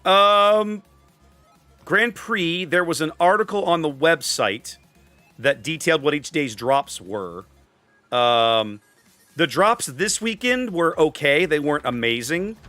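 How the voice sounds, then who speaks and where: A man's voice: on the high side, expressive, slow, bright, forceful tone, dry recording. A man chatting casually with viewers while commentating on his own live gameplay, thinking aloud in an opinionated, conversational way. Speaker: game live streamer. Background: faint game music.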